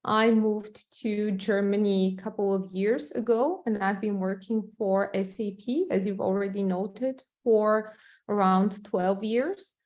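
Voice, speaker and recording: A woman's voice: mid-range voice, a little slow, a little mellow. A woman in her thirties from Bulgaria, speaking with a Bulgarian accent as she gives answers as an interview guest. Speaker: interviewee, product manager. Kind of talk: interview answers about career.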